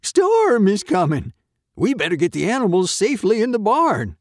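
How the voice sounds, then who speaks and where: Storyteller putting on an old farmer guy hollering, loud, pitched up, kids story. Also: huge pitch swings, normal speed, no room sound, studio quality. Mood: urgent. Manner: bellowing, calling out. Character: old male farmer, bellowing and protective, in a children's story.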